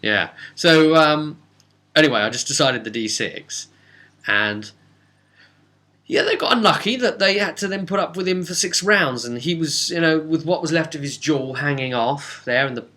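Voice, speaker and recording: A man giving a casual, unscripted recap as a tabletop game master, rambling and animated with hesitant asides. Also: a little high, moderate pace.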